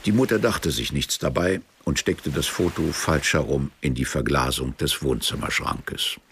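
An actor as the sober, detached storyteller of a German radio play. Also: male voice, extremely low pitch, moderate pace, fairly bright tone, a little hiss or hum. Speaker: narrator. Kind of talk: scripted radio play narration.

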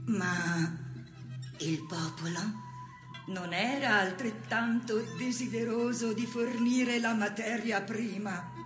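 A haunted, reflective woman in a poetic stage monologue. She speaks very slowly and deliberately, in a slightly high voice. The sound is echoing, with dramatic, measured phrasing. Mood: grave storytelling. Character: haunted, reflective woman recalling childhood fear of spirits. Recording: echoing theatrical sound with prominent music. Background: music.

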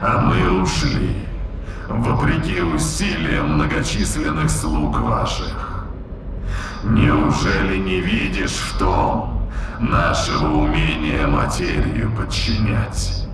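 An actor voicing a haughty otherworldly entity in an ape's body, with a gravelly whispery growl and slow, archaic, pompous phrasing in an audio drama, his voice processed with reverb. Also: low voice, animated, exaggerated voice. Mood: lofty, boastful. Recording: echoey distant voice under loud music.

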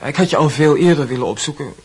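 A man's voice: on the high side, lively intonation, breathy edge, rough recording. Hese, aarzelende jongeman. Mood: shy, halting. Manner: hesitant, halting, earnest. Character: earnest, hesitant young man, lovesick student. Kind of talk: radio play acting in Dutch.

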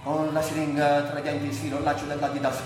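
A man's voice: a little high, monotone, fast talker, close to an everyday voice. An actor playing a tormented, delirious male addict in a histrionic theatrical radio monologue that swings between brooding and cries. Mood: haunted. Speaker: actor and director. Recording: very echoey, distant, faint music behind.

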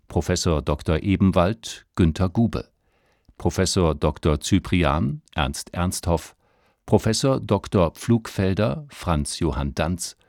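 Deep-voiced formal male radio announcer. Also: darkish tone, dry recording, studio quality. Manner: measured, precise enunciation. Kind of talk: formal scripted cast announcement.

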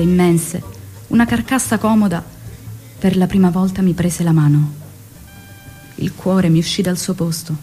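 A woman's voice: lowish voice, a little mellow, lightly acted. An actress playing a revolutionary's young secretary and lover who recalls their story in an intimate, theatrical way, with music behind her. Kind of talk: Italian stage drama acting and memoir narration.

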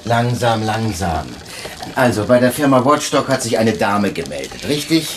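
Man acting as police detective, male, low voice, wry, probing, radio crime drama, noticeable background noise. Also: expressive, normal speed, lightly acted.

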